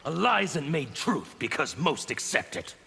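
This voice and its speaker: A man voicing a fanatical anarchist warrior in a fighting game, with a harsh gravelly rasp and a theatrical, taunting, defiant delivery. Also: fairly high pitch, big pitch swings, a little slow, exaggerated voice. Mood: preaching, fierce. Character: fanatical male anarchist warrior preaching chaos and freedom. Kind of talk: fighting game character acting. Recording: reverberant hall, background noise.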